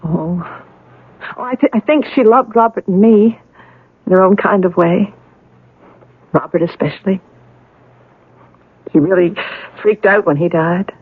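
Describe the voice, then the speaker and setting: A woman's voice: medium pitch, a little slow, pauses often, slightly rough, dry recording, phone-quality sound. An actress playing a troubled, guilt-ridden young woman, bitter and resigned, in a 1970s radio drama. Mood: bitter regret.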